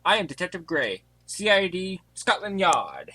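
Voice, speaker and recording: A man's voice: high voice, very animated, moderate pace, pushed and bright, husky, dry recording, exaggerated voice. A man playing a formal, earnest butler who is really an undercover detective in an amateur audio mystery. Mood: businesslike. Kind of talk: fan audio drama acting.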